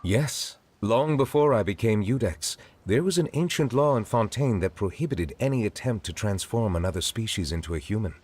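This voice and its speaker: An actor playing a dignified, courteous chief judge in a video game, speaking in formal, measured, earnest phrases. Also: male voice, on the low side, animated, a little mellow, husky. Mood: grave, explanatory. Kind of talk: video game character dialogue.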